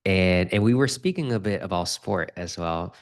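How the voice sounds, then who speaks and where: A man's voice: deep voice, lively intonation, moderate pace. A man from the Republic, a guest in a casual interview, talking earnestly and often repeating his first words. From Dominican Republic. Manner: earnest, repeats words when starting sentences. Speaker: guest, real estate investor.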